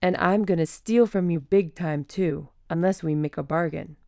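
A man's voice: on the high side, normal speed, creaky, warm tone, clean tone, no echo, studio quality, pitched above the performer's own voice. A man voicing a shrewd, bossy female elephant who scolds and haggles bluntly. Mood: stern. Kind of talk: audiobook character voice.

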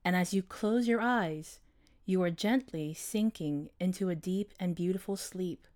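A man voicing a gentle, wise female owl hypnotist in a storybook, with a soft, smooth, calm and soothing delivery. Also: sits high, measured pace, voice pushed up. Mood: soothing, hypnotic. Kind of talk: storybook character voice.

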